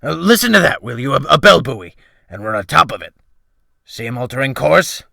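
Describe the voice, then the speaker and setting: A man voicing a gruff, excitable old seaman in an audiobook, bellowing and scornful with salty exclamations. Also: mid-range voice, brisk, dry recording, clean studio sound, full character voice. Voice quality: loud, bellowing. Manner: snorting, salty colloquial exclamations. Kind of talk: dramatic audiobook character acting.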